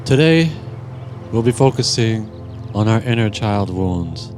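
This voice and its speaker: A man narrating a guided meditation in a gentle, soothing, deliberate manner with long pauses. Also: fairly low pitch, expressive. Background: music.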